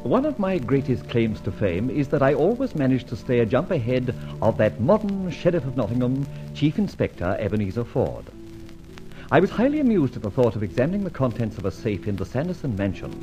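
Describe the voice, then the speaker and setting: Actor as a suave, theatrical gentleman thief, lively delivery. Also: mid-range voice, close to an everyday voice. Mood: smug, amused. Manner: theatrical, urbane, chuckling, florid phrasing. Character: suave, amused gentleman thief adventurer, self-satisfied and flippant. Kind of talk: 1950s-60s radio serial acting. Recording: dull vintage radio recording, light noise.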